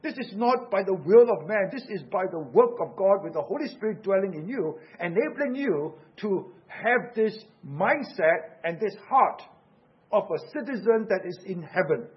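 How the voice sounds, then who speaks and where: Husky high-voiced Singaporean preacher. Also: male voice, lively intonation, normal speed, lo-fi sound. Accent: Singaporean English. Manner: earnest, urgent preaching with rhetorical questions. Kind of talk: sermon.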